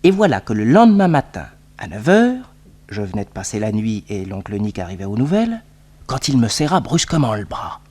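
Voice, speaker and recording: An actor playing a detective's eager nephew, telling the case as a lively storyteller in a French radio serial. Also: male voice, on the low side, fairly quick. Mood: eager, suspenseful.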